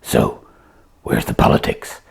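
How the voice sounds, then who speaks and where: A woman voicing a naive sock puppet sidekick in a comic puppet show, asking short, blunt questions in a husky, gravelly, breathy voice. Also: a little slow, a little mellow, close to an everyday voice. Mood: naive curiosity.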